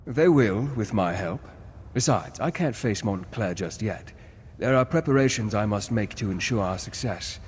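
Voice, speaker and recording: An actor playing a noble, earnest war leader in a fantasy video game, formal and dramatic in delivery. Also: male voice, fairly low pitch, expressive, normal speed, few pauses, slightly rough, in a large hall. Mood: solemn, reassuring.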